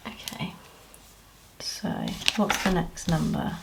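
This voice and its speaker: A British woman with a croaky voice, chatting casually as she counts cash into budget envelopes and clears her throat now and then. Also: fairly low pitch, huge pitch swings, takes it slowly, heavy background noise. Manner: counting money aloud, chatty asides. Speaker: budgeting vlogger. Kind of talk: casual cash-stuffing commentary.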